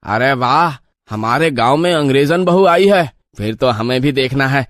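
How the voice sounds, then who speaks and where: A man's voice: mid-range voice, lively intonation, moderate pace, a bit bright, close to an everyday voice. A man voicing a curious, easygoing young village lad in a moral story. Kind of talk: animated moral story dialogue.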